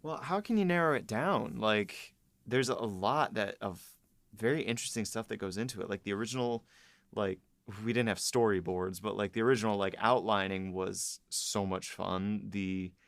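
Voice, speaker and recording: An excitable writer speaking on a casual podcast, often stopping and restarting sentences. The voice sits in a middle pitch. The sound is close and dry. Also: normal speed, vocal fry, dry recording. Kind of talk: casual chat.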